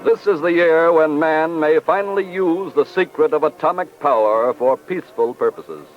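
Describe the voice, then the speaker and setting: A man's voice: fairly high pitch, moderate pace. A man narrating a 1940s radio drama in a grave, formal, dramatic manner. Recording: muffled 1940s radio recording with music.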